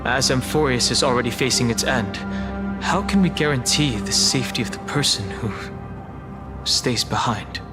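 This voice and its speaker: Guy acting a serious protective traveler guy in a game, mid pitch, polite, loud music behind. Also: lively intonation, moderate pace, close to an everyday voice. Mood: serious concern. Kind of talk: scripted game story dialogue.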